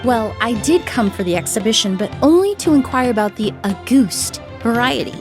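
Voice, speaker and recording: A woman voicing a polite, composed young perfumer in a video game, speaking in a measured, formal, scholarly way. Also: a little high, animated, music in the background. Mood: modest.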